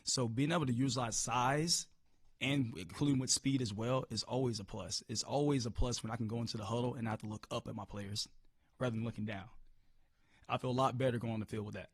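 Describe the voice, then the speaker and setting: A hoarse-voiced man coaching football, answering press questions upbeat, often repeating words as he restarts. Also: medium pitch, steady tone, quick pace, no echo, clean studio sound. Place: media room.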